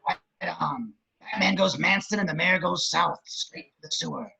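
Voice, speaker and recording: Super raspy sleazy wisecracking villain boss, scheming and crude, lo-fi audio. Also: male voice, sits high, expressive, normal speed, sharp tone, full character voice. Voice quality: very raspy, gravelly. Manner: leering, wisecracking. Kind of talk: amateur table read of a film script.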